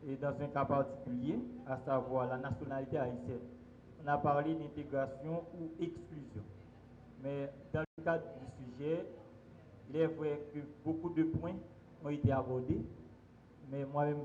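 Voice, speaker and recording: A man's voice: fairly high pitch, dark tone, dull audio, a little hiss or hum. Man asking a question in an echoey hall. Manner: formal, slightly hesitant. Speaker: audience member asking a question. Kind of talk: formal question at a conference.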